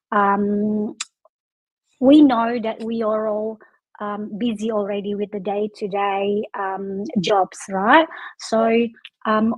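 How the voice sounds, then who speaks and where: A woman's voice: medium pitch, no room sound, muffled recording. A woman hosting a casual online interview, hesitant and full of "um" fillers. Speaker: interview host.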